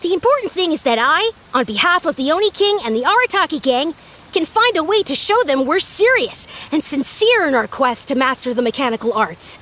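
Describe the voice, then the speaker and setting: Earnest young battle master, high pitch, formal, grandiose, loyal, animated video game delivery. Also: female voice, brisk. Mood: proud, determined. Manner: formal, grandiose, orderly wording.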